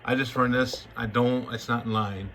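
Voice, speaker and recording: A man's voice: medium pitch, steady tone, normal speed, a bit bright. A man giving a casual hands-on demonstration in a folksy, colloquial, rambling way. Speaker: radio hobbyist demonstrating equipment.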